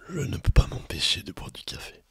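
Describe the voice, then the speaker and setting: A person whispering in an ASMR roleplay as a coffee shopkeeper, speaking to the listener in a hesitant, improvised sales patter with an airy, breathy voice. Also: a little fast, dry recording, clean studio sound. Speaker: ASMR performer playing a coffee roastery shopkeeper.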